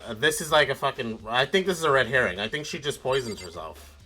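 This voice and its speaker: Bright-voiced man thinking aloud over game music. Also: medium pitch, animated, fast. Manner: speculative, blunt, swears casually. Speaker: streamer playing a mystery game. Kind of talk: casual game commentary with friends, reasoning aloud.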